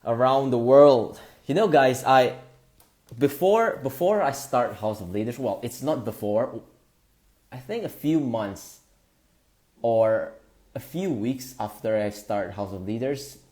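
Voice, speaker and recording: A man, hosting a casual live stream, greeting viewers and talking in halting bursts with lots of fillers. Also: medium pitch, animated, a little mellow. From Indonesia. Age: 20s.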